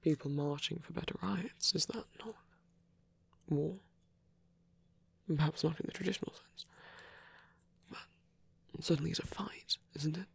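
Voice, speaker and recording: A performer playing a gentle, caring male vampire priest in an intimate ASMR roleplay, speaking in a breathy whisper with a measured, slightly formal manner. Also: mid-range voice, no echo. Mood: earnest, soothing.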